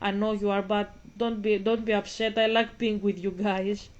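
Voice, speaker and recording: A woman's voice: mid-range voice, little pitch movement, moderate pace, dull audio. A woman of twenty-five from Greece, speaking English in rambling, self-interrupting casual commentary aimed straight at her viewers. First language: Greek. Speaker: vlogger.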